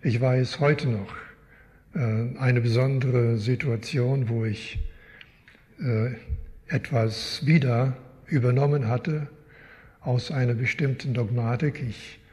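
An older man from Canada, a guest Bible teacher, answering interview questions in a slow, thoughtful, wry way. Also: medium pitch, steady tone, mellow. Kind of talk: live interview in a church. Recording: long hall reverb.

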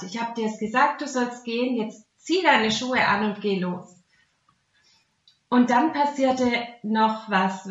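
A woman with a Swabian accent gives an earnest, conversational devotional talk. Her voice sits fairly high. The recording is quite echoey and slightly dull, lacking crispness in the sound. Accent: Swabian German. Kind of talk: devotional talk mixing Bible teaching and personal testimony. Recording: roomy echo, dull muffled sound.

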